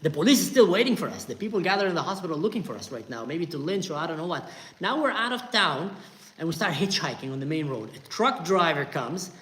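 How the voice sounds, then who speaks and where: A middle-aged man with an Israeli accent, telling a story from his own life in a lively casual way and acting out the voices he quotes. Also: a little high, quick pace. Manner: animated, acts out quoted voices, tense retelling. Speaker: storyteller host.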